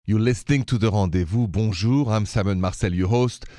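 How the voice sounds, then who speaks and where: A man's voice: on the low side, a little fast, dark tone, dry recording, studio quality. A man from Paris with a French accent, hosting a call-in radio show and giving relationship advice in a conversational, direct way. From Paris, France.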